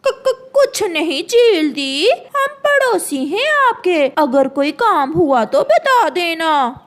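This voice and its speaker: A woman's voice: extremely high pitch, very animated, slow, exaggerated voice. A woman voicing a quarrelsome, complaining female crow wife in a cartoon, with a whiny manner. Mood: nervous. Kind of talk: Hindi cartoon dialogue.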